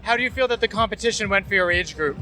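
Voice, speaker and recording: A man interviewing athletes outdoors at a race event, asking quick, upbeat questions. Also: sits high, music in the background. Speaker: podcast host interviewer.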